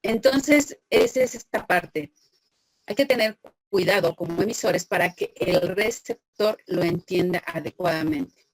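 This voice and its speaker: A woman's voice: medium pitch, lively intonation, measured pace, creaky, no echo, poor audio. A woman teacher, lecturing an online class, patiently questioning students and praising them by name.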